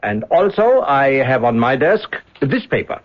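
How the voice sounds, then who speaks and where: Polite german police inspector, hesitant and probing, voice moves around a lot, muffled old radio drama. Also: male voice, mid-range voice, big pitch swings, unhurried. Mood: politely probing. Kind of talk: 1970s radio drama acting. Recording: dull lo-fi old radio recording.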